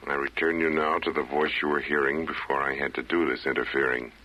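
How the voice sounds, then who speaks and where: An actor in a 1940s radio verse comedy playing a fussy, pedantic explainer, confiding and precise. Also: male voice, a bass voice, level tone, brisk. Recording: muffled, noisy 1940s radio broadcast.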